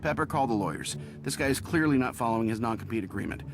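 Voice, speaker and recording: Mid-pitched, sarcastic male actor as a cocky genius hero. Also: animated, fast, music in the background, lightly acted. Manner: sarcastic quips. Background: game score.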